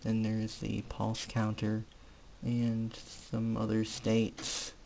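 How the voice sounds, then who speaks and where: A man giving a casual hands-on electronics demonstration, speaking haltingly in short piecemeal phrases. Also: fairly low pitch, monotone, creaky, darkish tone, poor audio. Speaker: hobbyist presenter. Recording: faint background noise with clicks.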